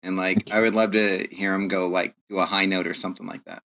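Bright-voiced man co-hosting. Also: deep voice, level tone, fairly quick, vocal fry, dry recording, rough recording. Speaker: podcast co-host. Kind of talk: casual chat.

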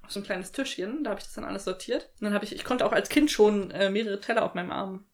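A woman's voice: medium pitch, fast talker, slight background noise. A woman co-hosting a casual podcast, teasing her partner and laughing readily. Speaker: podcast co-host. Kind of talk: casual chat.

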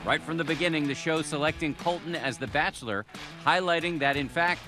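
A man's voice: a little high, brisk. An American man reading scripted TV news narration in a dramatic headline style. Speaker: TV news reporter. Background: clear music bed.

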